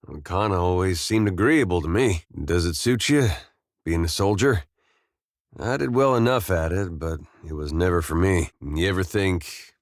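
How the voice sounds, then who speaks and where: Low-voiced folksy farmer-soldier, played by a man. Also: dry recording, studio quality, lightly acted. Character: easygoing young-middle-aged farmer-soldier, wry, folksy, weary. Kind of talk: video game companion dialogue.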